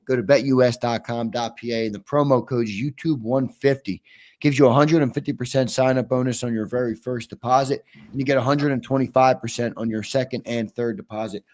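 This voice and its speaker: A man's voice: mid-range voice, steady tone, a little fast, a little mellow. A man hosting a casual sports talk show, upbeat and losing his voice. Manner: upbeat, reads viewer questions and ad reads. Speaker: host.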